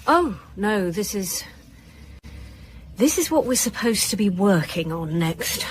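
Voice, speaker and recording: Woman police inspector, medium pitch, brisk, dry wit, natural radio drama dialogue. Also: sing-song, slight background noise. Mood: brisk, businesslike. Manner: dry, wry. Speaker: actor in an audio drama.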